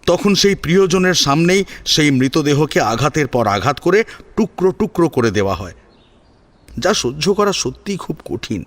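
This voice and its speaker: A man narrating a scripted dramatic audio story in an expressive, emphatic manner. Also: fairly high pitch, moderate pace.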